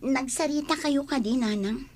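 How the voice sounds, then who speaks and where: A woman's voice: high-pitched, normal speed, darkish tone. An actress playing a meek, apologetic young unwed mother and submissive daughter in an Ilocano radio drama. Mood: pleading.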